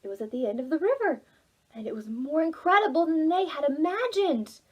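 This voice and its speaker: A woman reading a children's storybook aloud for preschoolers, dramatic and expressive, involving the young listeners. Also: sits high, fairly quick, highs cut off. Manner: animated, dramatic, asks listeners questions. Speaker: children's library storytime reader.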